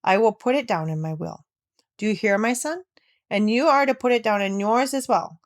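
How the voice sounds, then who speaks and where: A woman voicing a kindly, generous old grandmother in a storybook, speaking warmly and firmly to a child. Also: mid-range voice, expressive, moderate pace, natural voice. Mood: generous, amused. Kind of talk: storybook read-aloud.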